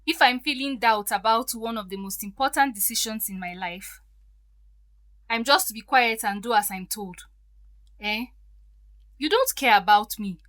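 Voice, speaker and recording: A woman's voice: on the high side, moderate pace, long pauses, a bit bright, dry recording, close to an everyday voice. An actress playing a hurt, defiant stepdaughter in her thirties in an audio drama, emotional and pleading.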